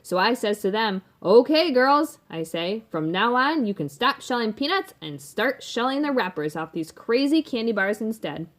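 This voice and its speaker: A woman's voice: a little high, animated, normal speed, hardly any pauses, a bit bright, voice pushed up. A woman voicing a boastful, doting rich businessman father in a storybook, emphatic and folksy. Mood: excited, doting.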